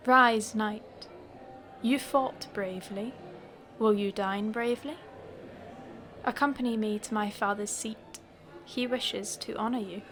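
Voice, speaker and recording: A woman's voice: medium pitch, fairly even intonation, slow pace, long pauses, fairly bright tone. A woman playing a young king's daughter in a fantasy audio drama, formal and composed. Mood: gracious, commanding. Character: poised, formal noble daughter of a king.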